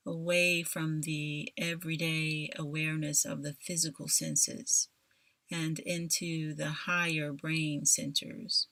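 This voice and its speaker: Female meditation guide: soothing, unhurried scripted delivery with long pauses, very even intonation, close dry sound. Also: low voice, no room sound.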